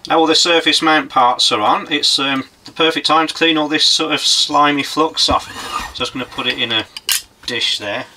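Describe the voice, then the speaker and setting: Bright-voiced man giving hands-on commentary. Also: a little high, moderate pace, a little hiss or hum. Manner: informal, explains as he works. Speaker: electronics repairer presenting a workshop video. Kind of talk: hands-on demonstration commentary, casual. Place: indoor electronics workshop at a workbench.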